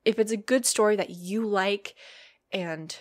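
A young woman with a slightly hoarse voice chats loosely into a home mic. She rambles along, filling gaps with ums and likes, in a mid-pitched everyday voice. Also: measured pace. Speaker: podcast host and roleplay series writer. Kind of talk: casual solo podcast chat.

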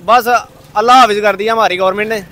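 A man's voice: high-pitched, fairly even intonation, a little fast, bright, forceful tone. Incredulous young man at a night market. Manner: incredulous, explaining. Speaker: interviewee. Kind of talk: street vox pop. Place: busy market street at night, outdoors. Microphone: handheld mic.

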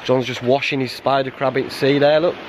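A man's voice: mid-range voice, steady tone, a little fast, slight background noise. A tired Northern English man in his thirties, chatting casually to viewers from a rocky beach, laid back and self-deprecating. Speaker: vlogger behind the camera. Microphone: handheld camera at arm's length.

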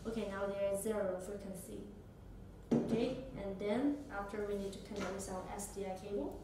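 A young woman demonstrates a product step by step, speaking in halting phrasing. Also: medium pitch. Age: young adult. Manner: halting non-native English phrasing. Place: small indoor office or meeting room. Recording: echoey room, distant mic, noisy background.

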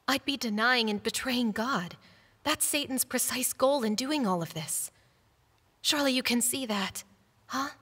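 A woman's voice: a little high, a bit bright, breathy edge. A woman acting a devout, steadfast middle-aged mother in a stage drama, speaking with earnest conviction. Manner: earnest, pleading, preaching. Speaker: stage actress. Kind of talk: earnest stage play acting, English dub. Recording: reverberant stage hall, music behind.

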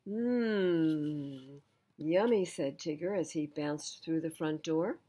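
An older woman, around her seventies, reading a children's storybook aloud in an expressive, deliberate manner. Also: deep voice, slightly rough. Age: older, 70s. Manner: slow, deliberate, animated reading. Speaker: children's storytime reader at a library. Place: indoors in front of full bookshelves.

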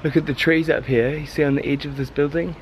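A man's voice: a little high, fairly even intonation, fast talker, dull audio, slight background noise. A man, talking casually and enthusiastically to a handheld camera as a travel photography vlogger. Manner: enthusiastic, informal. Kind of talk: casual vlog narration to camera. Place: outdoors and inside large abandoned factory buildings. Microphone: handheld camera at arm's length.

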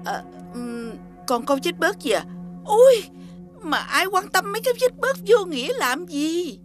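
High, swooping wicked witch. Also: sing-song, unhurried, exaggerated voice. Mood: dismissive. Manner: mocking, domineering, theatrical. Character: scheming, imperious wicked witch posing as mother. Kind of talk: animated fairy tale dub acting. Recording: loud background music.

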